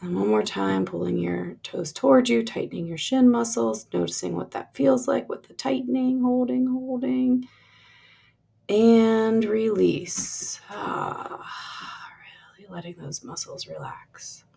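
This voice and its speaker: A woman guides a relaxation meditation at a very unhurried pace. Her voice is heavy with vocal fry and has a husky, breathy edge. She gives gentle instructions in lingering phrases that soften as they trail away. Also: fairly high pitch.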